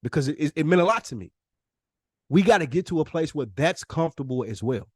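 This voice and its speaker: A man's voice: on the high side, animated, fast, darkish tone, slightly rough, no room sound, clean studio sound. An American man co-hosting a podcast in casual, slangy, emphatic talk, often acting out imagined dialogue. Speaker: podcast co-host.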